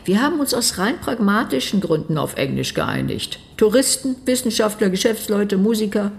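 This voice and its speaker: An actress playing a disapproving modern debater in a radio play, argumentative and emphatic. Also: female voice, medium pitch, expressive, moderate pace, vocal fry. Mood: insistent.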